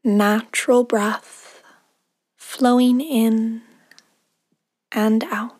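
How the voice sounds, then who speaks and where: A woman guiding a meditation in a soothing, unhurried manner, leaving long pauses between short phrases, with soft, breathy endings. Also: a little high, fairly even intonation, no echo. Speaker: meditation guide. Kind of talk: guided meditation narration.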